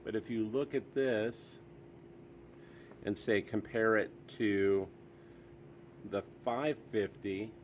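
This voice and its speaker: A man's voice: on the low side, takes it slowly, long pauses, slight background noise. A man hosting a casual product review, talking in an easygoing, chatty way with frequent fillers.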